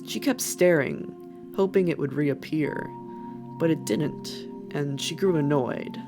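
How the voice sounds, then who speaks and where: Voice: mid-range voice, slow pace. A narrator reading an animal adventure story as scripted narration. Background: faint background music.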